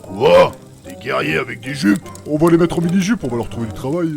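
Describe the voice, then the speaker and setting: A man's voice: fairly high pitch, lively intonation, a little fast, gravelly, over music. A man playing a blunt, foul-mouthed, mocking adventurer in a comedic fantasy audio drama. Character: gruff, crude, mocking male adventurer, bluntly macho.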